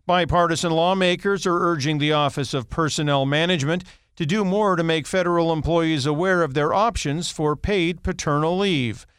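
Male American news anchor, higher-set voice, very dry close sound, scripted bulletin read. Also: moderate pace, a bit bright, clear, resonant tone, no echo.

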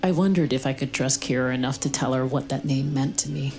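A woman's voice: very deep voice, brisk, over music. A woman playing a guarded, shaken young woman haunted by loss in an audio drama, hesitant and tense. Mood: troubled. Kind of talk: naturalistic audio drama acting.

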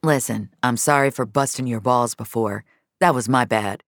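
A woman's voice: a bass voice, moderate pace, dry recording, studio quality. Reza, a blunt, joking male colleague voiced by a woman. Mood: teasing, blunt. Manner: blunt, teasing. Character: Reza, joking, foul-mouthed male colleague in sci-fi story.

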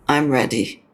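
An actress playing a frank, consoling older mother near death in an audio drama, speaking slowly and haltingly with a husky, creaky voice. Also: sits low, bright, forceful tone, exaggerated voice. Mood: weary, insistent. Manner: slow, halting, probing. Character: dying mother, frank, consoling, stubbornly insistent.

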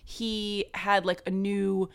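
A woman's voice: mid-range voice, flat delivery, takes it slowly, creaky, smooth. A woman co-hosting a casual sports podcast, upbeat and encouraging, with fillers when explaining. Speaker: podcast co-host.

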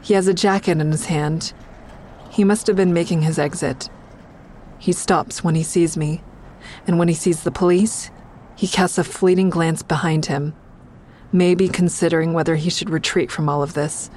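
A low-pitched actress playing a young woman in an audio drama, defiant and distraught as she tells her own story. There is clear background noise around her voice. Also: steady tone, normal speed, darkish tone, natural voice. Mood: tense narration. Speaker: narrator and lead character. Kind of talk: audio drama narration and dialogue.

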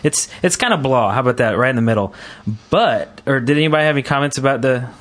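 Casual male podcast host, mid-pitched voice. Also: animated, fast talker, a bit bright. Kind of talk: casual gaming podcast chat.

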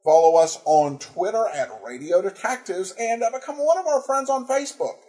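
A man hosting a podcast, speaking informally in a halting, hesitant way as he reads and answers listener feedback. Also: sits high, lively intonation, warm tone. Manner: halting, hesitant, breaks up phrases. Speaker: podcast host.

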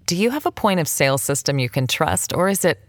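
A woman's voice: low voice, big pitch swings, fast, no room sound, clean studio sound. A woman delivering a scripted podcast sponsor read as an advertisement announcer. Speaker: advertisement reader.

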